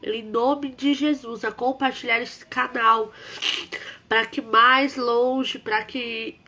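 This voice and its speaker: Slow, bright-voiced woman with a cold. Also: fairly high pitch, fairly even intonation, a little hiss or hum. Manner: earnest, repetitive, emphatic exhortation. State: congested with a cold. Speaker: worship leader hosting a live stream. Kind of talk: devotional live-stream talk.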